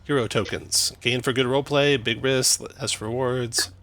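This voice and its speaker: A man acting as game master, narrating a tabletop game in a relaxed, explaining way with fillers. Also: mid-range voice, measured pace.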